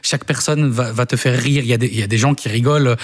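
A man's voice: medium pitch, steady tone, quick pace. A young man, a stand-up comedian, chatting casually as a radio guest, full of fillers and restarts. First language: Italian.

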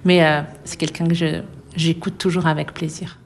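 A middle-aged woman violinist being interviewed, speaking thoughtfully with many pauses and light laughs. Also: sits low, little pitch movement, a little hiss or hum. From France. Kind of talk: relaxed radio interview.

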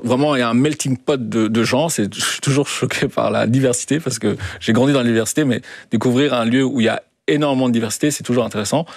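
A man's voice: medium pitch, no room sound. A young adult man from Belgium, giving a conversational interview as a guest, hesitating and repeating words as he searches for phrasing. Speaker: interview guest, documentary maker.

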